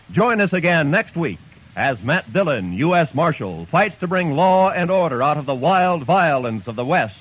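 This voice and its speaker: An American man announcing on 1950s radio in a formal, emphatic style. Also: on the high side. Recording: muffled vintage radio broadcast. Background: music.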